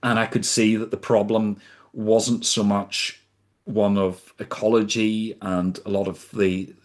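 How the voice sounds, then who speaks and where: A man in his fifties from the UK, a podcast guest recounting his career in a measured, deliberate way. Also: lowish voice, little pitch movement. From United Kingdom. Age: 50s. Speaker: podcast guest, sustainability consultant. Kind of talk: reflective storytelling in conversation.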